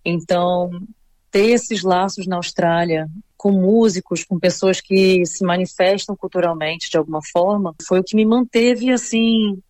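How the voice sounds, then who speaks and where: A woman's voice: lowish voice, steady tone, unhurried, a little mellow, dry recording. A Brazilian woman being interviewed, talking reflectively and with feeling, full of little fillers. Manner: reflective, emotional, many fillers like "assim" and "sabe". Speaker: interviewee, returning immigrant. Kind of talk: casual conversational interview.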